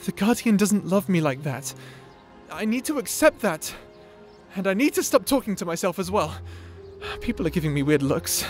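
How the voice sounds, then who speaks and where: A man's voice: high-pitched, sing-song, normal speed, soft background music. A man playing a timid, lovesick young adventurer in an audio drama, stammering, hesitant and apologetic. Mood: dejected, anxious. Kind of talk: audio roleplay drama acting.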